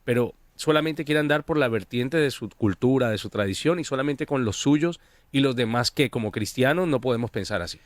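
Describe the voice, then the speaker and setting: A Colombian-born man co-hosting a discussion at an even, mid-range pitch. He speaks in a measured, hesitant way, full of fillers and tag questions. The recording is dry and close. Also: no room sound. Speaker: co-host. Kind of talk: conversational discussion.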